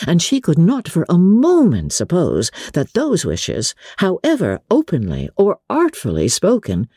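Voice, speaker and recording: A woman reading classic literature as a narrator, in a measured, theatrical, dramatic style. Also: sits low, warm tone, breathy edge, no room sound, studio quality. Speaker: narrator, audiobook reader.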